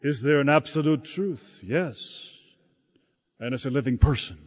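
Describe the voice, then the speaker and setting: A man preaching a sermon in a slow, halting delivery, often repeating words as he searches for the next phrase. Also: mid-range voice. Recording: long hall reverb.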